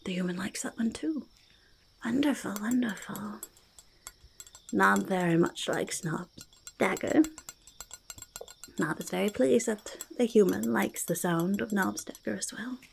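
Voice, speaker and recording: A woman voicing a quirky, eager little goblin in a soft-spoken ASMR roleplay, creaky and whispering, with halting, repetitive speech. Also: mid-range voice, slight background noise. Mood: curious, tentative, then pleased. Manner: halting, repetitive, third-person speech with drawn-out words. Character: quirky, eager, faintly menacing little goblin, friendly to humans. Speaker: ASMR performer in character.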